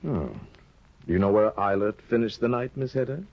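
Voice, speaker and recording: An actor playing a sly, scheming older judge in a 1950 radio drama, insinuating and hesitant, on a dull old broadcast recording. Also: male voice, lowish voice, natural voice. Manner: insinuating, hesitant with drawn-out ums. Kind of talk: 1950s radio drama acting.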